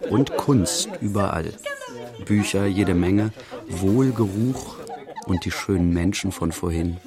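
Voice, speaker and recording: A man acting as the narrator of a scripted radio play, observing an art-world party. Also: sits low.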